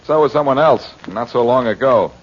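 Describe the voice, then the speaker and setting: A man's voice: mid-range voice, normal speed, natural voice. An actor playing a glib, self-assured amateur sleuth in a 1940s radio drama, bantering as he goes. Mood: breezy. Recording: dull, noisy 1940s radio recording.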